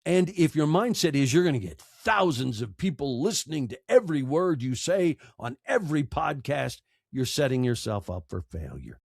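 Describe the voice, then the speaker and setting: A man's voice: mid-range voice, big pitch swings, normal speed, gravelly, few pauses, breathy edge, no echo, clean studio sound. A man speaking as a podcast guest, giving conversational advice emphatically and repeating phrases for effect.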